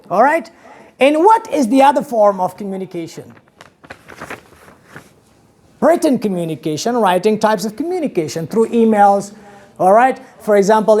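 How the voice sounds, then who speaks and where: Man, 30s, afghan accent, english teacher, animated lecture, small classroom, camera across the room. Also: high voice. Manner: animated, gestures widely, asks the class questions.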